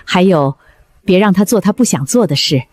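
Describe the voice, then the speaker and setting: A woman's voice: on the low side, lively intonation, normal speed, darkish tone. A woman playing a practical, plain-spoken animal-shop keeper, firm but caring, in a scripted drama. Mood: patient, instructive.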